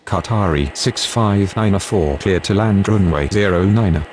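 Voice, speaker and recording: A man's voice: sits low, unhurried, a little mellow, slight background noise. A man voicing a procedural airport radio operator, speaking formal, clipped aviation phraseology in a game. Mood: businesslike. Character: procedural male airport controller and pilot radio voice. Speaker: air traffic control radio voice.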